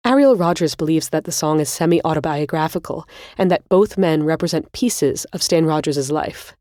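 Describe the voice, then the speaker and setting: A woman hosting a podcast, reading scripted narration in a measured, explanatory way. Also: low voice, dark tone, no echo, clean studio sound. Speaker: podcast host.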